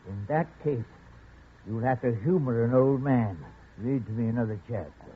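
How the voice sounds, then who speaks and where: An actor playing an old jungle recluse in a radio drama, softly evasive and stubborn, with a hesitant, stammering delivery. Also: male voice, medium pitch, animated, moderate pace, husky. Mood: calmly stubborn. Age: older. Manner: stammering, hesitant, mild-mannered. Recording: noisy, tinny vintage radio recording.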